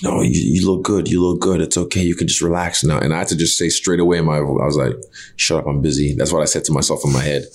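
A man's voice: extremely low pitch, steady tone, quick pace, darkish tone. A man whose first language is Yoruba, a fighter talking casually and intensely in an interview, with loose swearing.

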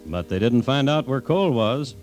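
Male storyteller host, acted by a man, 1950s radio crime drama, measured literary delivery, clear music bed. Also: medium pitch, animated, darkish tone, lightly acted. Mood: grave, wry. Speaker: host and narrator.

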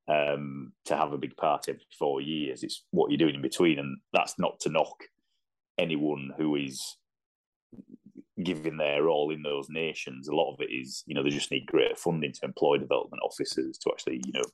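A man with a Yorkshire accent and a very deep voice. He talks in a casual, reflective way, like a fan giving considered opinions on a sports podcast. His delivery is earnest and conversational.

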